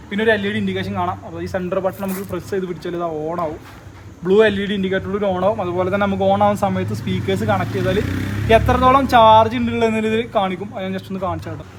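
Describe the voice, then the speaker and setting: Fast-talking high-voiced man demoing a gadget. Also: a little hiss or hum. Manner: rapid explanatory chatter, often tags sentences with a casual "you know". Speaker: tech channel host. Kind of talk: casual product unboxing demo.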